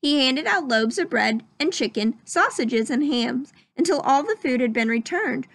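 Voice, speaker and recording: A woman reading a children's story aloud as narrator, with expressive, dramatic storytelling. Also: sits high, moderate pace, gravelly. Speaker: storybook reader.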